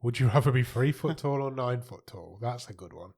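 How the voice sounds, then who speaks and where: A man with a slightly husky voice, co-hosting a casual podcast chat and often finishing his partner's sentences. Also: medium pitch, lively intonation, fairly quick, darkish tone. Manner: chatty, echoes and finishes his co-host's lines. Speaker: podcast co-host.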